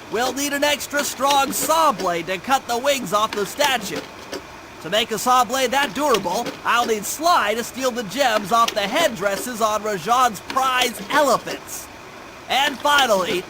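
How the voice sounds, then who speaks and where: An actor playing a fussy, confident, brainy turtle tactician who gives precise, technical briefings in a cartoon video game. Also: male voice, sits high, noisy background. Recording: game music behind voice. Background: game music.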